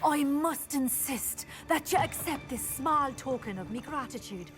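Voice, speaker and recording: A woman's voice: high-pitched, expressive, a little slow, soft background music. A woman playing a nervous, superstitious, eccentric village witch in a video game, theatrical and wavering. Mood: grateful, relieved. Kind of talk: video game cutscene acting.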